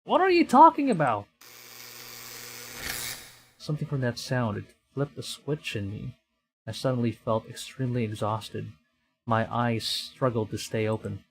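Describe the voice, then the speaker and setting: Man, game streamer, medium pitch, dramatic read-aloud, horror visual novel narration, live reactions. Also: steady tone, moderate pace, poor audio. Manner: acts out the dialogue dramatically.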